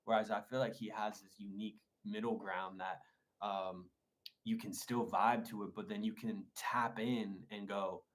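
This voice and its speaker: Man, producer guest, casual chat, rambling, lots of filler words, lowish voice. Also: steady tone, a little slow. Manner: rambling, many likes and restarts, enthusiastic.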